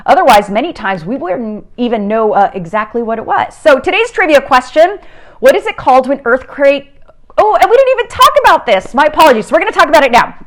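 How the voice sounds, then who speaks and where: A woman's voice: sits high, big pitch swings, a little fast. Chatty upbeat woman meteorologist, middle-aged. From South America. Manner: chatty and upbeat, many filler "uh"s and rambling restarts. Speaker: TV meteorologist teaching a kids' lesson. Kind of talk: casual home-studio science lesson. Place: home.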